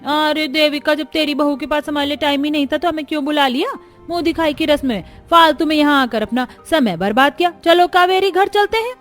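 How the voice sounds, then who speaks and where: A woman's voice: high voice, fairly quick, close to an everyday voice. A woman voicing a nosy, affronted neighbour in a cartoon family story, impatient and complaining. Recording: music behind the voice.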